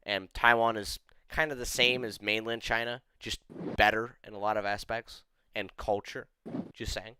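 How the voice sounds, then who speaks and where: A young man with a smooth, low-leaning voice talks casually and rambles, packing his sentences with fillers. He states strong opinions bluntly. The sound is dry and close, with no room around it. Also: little pitch movement, moderate pace, pushed and bright, no echo. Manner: rambling, opinionated, heavy use of "like". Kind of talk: casual chat.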